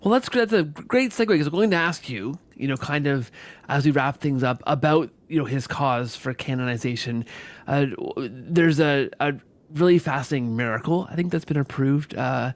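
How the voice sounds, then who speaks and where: A man hosting a podcast interview in a conversational style, with halting, rambling phrasing and self-corrections. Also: mid-range voice. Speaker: podcast host.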